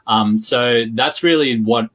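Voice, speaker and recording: A man's voice: medium pitch, takes it slowly, fairly bright tone. A man with an Australian accent, speaking conversationally as an interview guest and explaining his work. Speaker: interview guest, digital marketing entrepreneur. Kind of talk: conversational self-introduction and explanation.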